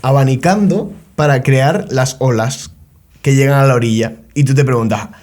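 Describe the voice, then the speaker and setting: A man's voice: medium pitch, normal speed, darkish tone. A young man, co-hosting a casual conversation and explaining ideas at length with joking, self-deprecating asides. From Canary Islands. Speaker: podcast co-host.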